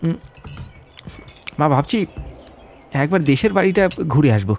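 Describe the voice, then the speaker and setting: A man voicing an earnest young son in an audio story, speaking in a casual, gentle, reassuring way. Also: a little high, lively intonation, measured pace, slight background noise. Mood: gently reassuring.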